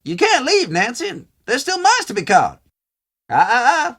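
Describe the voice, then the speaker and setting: A chatty small-town shopkeeper from a video game. He has a homespun American country drawl and a sharp, bright tone. His pitch leaps around in a very animated way. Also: slightly rough, full character voice. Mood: bossy. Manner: folksy, drawn-out country talk. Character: folksy, chatty small-town male storekeeper fussing about mice. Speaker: storekeeper character. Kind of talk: video game character dialogue.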